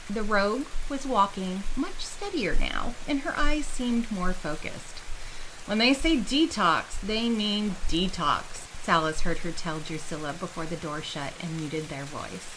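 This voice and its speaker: A woman's voice: fairly low pitch, noisy background. A woman reading scripted fantasy narration as a storyteller.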